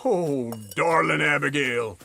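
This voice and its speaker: An actor playing a cantankerous, foul-mouthed old-timer outlaw in a video game, with a gravelly American voice and a mocking, folksy, ranting manner. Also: male voice, fairly high pitch, slow pace, bright, forceful tone, a little hiss or hum, exaggerated voice. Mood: scornful, mocking. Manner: ranting, needling, colourful folksy insults. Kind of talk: video game cutscene acting.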